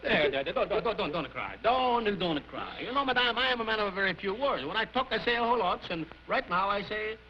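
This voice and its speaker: A man's voice: sits high, fast, few pauses, sharp tone, slight background noise, full character voice. An actor playing a brash, self-important racketeer boss with blustering tough-guy talk in an old comedy film. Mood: soothing, blustering. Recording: muffled old film soundtrack.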